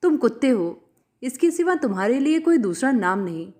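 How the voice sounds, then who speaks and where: A proud, indignant lady standing her ground voiced by a storyteller. Her high female voice is accusing and animated, in the style of an audiobook drama. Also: moderate pace. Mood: defiant.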